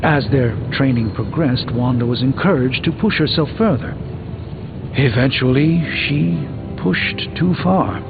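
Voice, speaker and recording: An actor playing a learned, composed sorcerer in a video game, speaking formally and articulately with dry wit. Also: male voice, medium pitch, a little slow, creaky, phone-quality sound. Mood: measured, grave. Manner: articulate, formal, dryly wry. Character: learned, composed, dryly witty adult male sorcerer. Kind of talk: video game character dialogue. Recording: thin band-limited audio under loud music.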